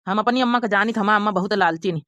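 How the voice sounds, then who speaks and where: A woman's voice: mid-range voice, racing, a bit bright, no echo, lo-fi sound, lightly acted. A woman voicing a protective, generous young married son in a cartoon comedy, earnest and reassuring, with an Awadhi accent.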